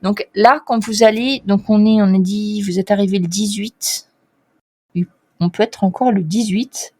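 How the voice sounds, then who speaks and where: A woman's voice: medium pitch, fairly even intonation, a little slow, a little mellow. Casual woman game master. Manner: casual, restarts often, many fillers. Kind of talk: narrating a tabletop role-playing game.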